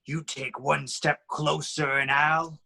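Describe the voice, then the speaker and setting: A man playing a menacing clown gangster in an amateur read, with a gravelly voice. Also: a little high, little pitch movement, slow, pushed and bright, full character voice. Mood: threatening. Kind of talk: amateur table read acting.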